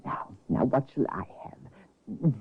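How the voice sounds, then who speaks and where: A woman's voice: very deep voice, big pitch swings, takes it slowly, gravelly, slightly rough, full character voice. A doddering old mother with a sweet tooth. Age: older. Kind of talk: 1950s radio drama acting. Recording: tinny old radio broadcast.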